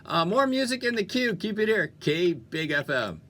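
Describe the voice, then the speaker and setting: A man's voice: high voice, sing-song, moderate pace, sharp tone. A man presenting a music radio show in an upbeat, chatty and playful style with casual, jokey asides. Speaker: radio DJ and host. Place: radio studio.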